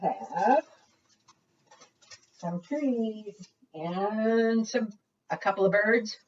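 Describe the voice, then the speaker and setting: A woman's voice: mid-range voice, drawn-out words, a little hiss or hum. A woman librarian leading an interactive preschool storytime, talking to small children with coaxing questions and singsong refrains. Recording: muffled, distant microphone.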